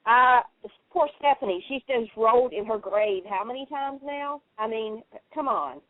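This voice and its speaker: A woman's voice: on the high side, a little slow, dry recording. A woman giving emphatic, casual commentary as a panelist on a radio chat show. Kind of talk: casual chat about TV soaps. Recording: narrow phone-line radio sound.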